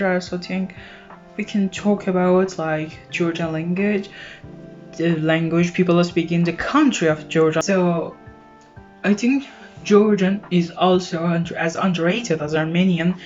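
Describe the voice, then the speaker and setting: A teenage girl whose first language is Persian talks casually to camera, her voice sitting a little low. The recording sounds dull and lo-fi, with soft guitar music playing underneath. She chats along with plenty of fillers and restarts. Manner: chatty, frequent fillers and restarts. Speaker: language vlogger.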